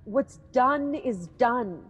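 A woman's voice: fairly high pitch, animated, drawn-out words, husky, exaggerated voice. An actress playing Lady Macbeth, a cold ambitious queen, in a classic radio drama. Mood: coaxing.

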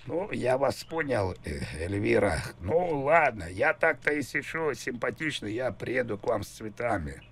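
A man in his fifties making a prank phone call, talking in a rambling, halting, insistent way full of innuendo. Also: medium pitch, very animated, gravelly, fairly bright tone, husky. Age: 50s. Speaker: prank caller. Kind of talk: casual phone prank conversation.

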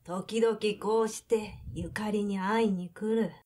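A woman's voice: mid-range voice, steady tone, takes it slowly, a little mellow. A woman playing a reminiscing grandmother of eighty-eight in an audio drama, with old-fashioned regional turns of speech. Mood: wistful.

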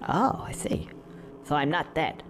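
A man's voice: a little high, expressive, unhurried, noisy background. A man voicing a naive, bemused young male squirrel in a video game, hesitant and polite with a touch of cheek. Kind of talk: video game cutscene dialogue.

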